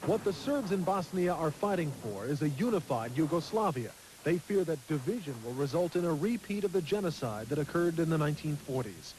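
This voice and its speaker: A man's voice: a little high, expressive, darkish tone, a little hiss or hum, low-quality recording. Man reporting in a field news piece. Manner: measured, formal delivery.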